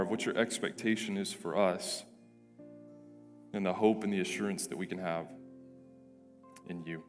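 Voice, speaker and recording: Man, pastor, hoarse, raspy, deep-ish voice, earnest sermon, church hall echo, soft instrumental music. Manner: earnest, with frequent restarts and repeated words. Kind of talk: prayer and sermon. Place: church sanctuary.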